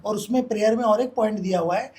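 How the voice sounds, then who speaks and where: A man's voice: sits high, fast, a little mellow, slightly rough. A man speaking as an advocate in an interview, explaining his side, with other voices behind him.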